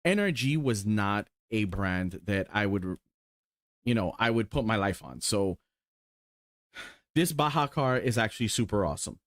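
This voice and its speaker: Man, 40s, casual rambling streamer, excitable, salty language, dry close sound. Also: lowish voice, moderate pace, no room sound. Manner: rambling, excited, swears casually, lots of ums. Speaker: sim racing streamer. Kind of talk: casual chat while showing cars.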